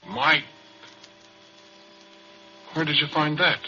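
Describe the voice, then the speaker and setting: A man playing a folksy oil-rig roughneck in an old radio drama, telling an eerie tale in rambling, colloquial speech. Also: fairly high pitch, drawn-out words, bright, forceful tone, exaggerated voice. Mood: startled. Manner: colloquial, rambling, explanatory storytelling. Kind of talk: 1940s radio drama acting. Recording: muffled, hissy old radio broadcast recording.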